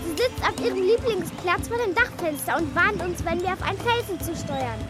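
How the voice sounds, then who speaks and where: Young girl pirate, very high voice, bold, cheeky, commanding, children's radio drama, loud music underneath. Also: lively intonation, normal speed. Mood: urgent, excited. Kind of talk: children's radio play acting.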